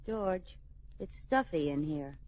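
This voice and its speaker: An actress playing an affectionate, teasing wife in a 1940s radio sitcom. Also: female voice, sits low, animated, takes it slowly. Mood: weary, nagging. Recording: dull, noisy old radio recording.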